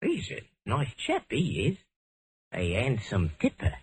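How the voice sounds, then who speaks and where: A man's voice: medium pitch, huge pitch swings, unhurried. A man acting a chatty, servile little Cockney bartender with garrulous patter in an old-time radio drama. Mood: chatty, cagey. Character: chatty little Cockney barman, obsequious and greedy. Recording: vintage radio drama recording.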